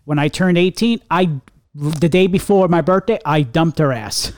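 A middle-aged man with a fairly high voice, chatting casually and laughing easily. He fills his sentences with "you know" as he tells stories. The recording is dry and close-miked. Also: moderate pace, darkish tone, no room sound. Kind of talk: casual chat.

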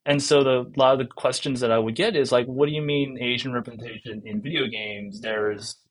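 A man from South Korea, a guest on a games podcast, who talks in loose, rambling sentences full of fillers and restarts. His voice sits at an ordinary middle pitch for a man. He speaks conversationally and never sounds scripted. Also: steady tone, brisk, poor audio. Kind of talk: casual podcast interview.

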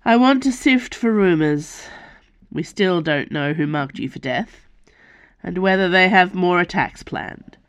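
Sullen, deadpan male guard, curt and insistent, as a woman reads him. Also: fairly low pitch, measured pace, clear, resonant tone, no echo.

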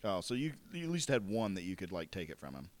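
Joking low-voiced man, casual. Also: big pitch swings, quick pace. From Southern United States. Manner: joking, swearing. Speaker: podcast guest, comedian. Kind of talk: casual chat.

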